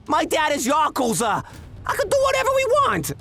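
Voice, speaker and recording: A man's voice: extremely high pitch, wildly swooping pitch, normal speed, gravelly, pushed and bright, full character voice. A man voicing a bratty young yakuza son in a manga dub, whiny and overwrought. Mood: defiant arrogance. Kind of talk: manga dub character acting. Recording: background music under voice.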